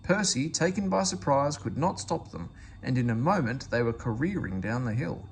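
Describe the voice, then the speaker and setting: Man, children's read-aloud narration, expressive, very varied pitch, gravelly edge, faint background noise. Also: normal speed. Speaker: storybook reader.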